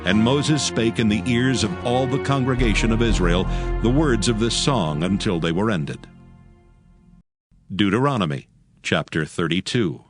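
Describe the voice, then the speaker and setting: A man with a fairly low voice narrates scripture. His reading is solemn and measured, and music plays loudly beneath him. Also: lively intonation. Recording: loud music under the voice.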